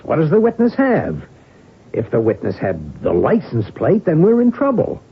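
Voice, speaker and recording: An actor playing a pragmatic, urgent confidant who questions insistently, in a 1970s radio drama. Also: male voice, on the high side, huge pitch swings, normal speed, slight background noise. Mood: urgent, probing. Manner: probing, insistent. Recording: narrow, phone-like radio recording.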